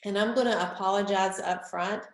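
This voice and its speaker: A woman, stuffy from a cold, leading an online training session for teachers in a clear, organized, instructive way. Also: mid-range voice, fairly even intonation, fairly quick. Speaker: instructional technology facilitator. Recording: roomy echo.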